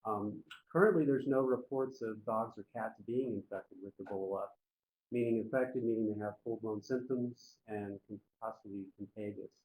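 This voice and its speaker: A man's voice: fairly low pitch, normal speed, phone-quality sound. A man presenting an informal public health briefing on a conference call, explaining in plain, folksy wording.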